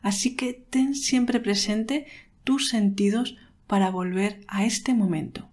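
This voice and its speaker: A woman leading a guided meditation in a calm, unhurried, soothing manner with pauses. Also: medium pitch. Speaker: meditation guide, podcast host.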